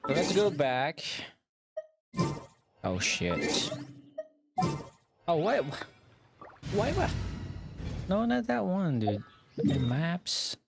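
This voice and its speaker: A man's voice: a little high, huge pitch swings, extremely slow, creaky, pauses often. A man doing casual live gameplay commentary, muttering to himself in short bursts. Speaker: game streamer. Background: loud game music.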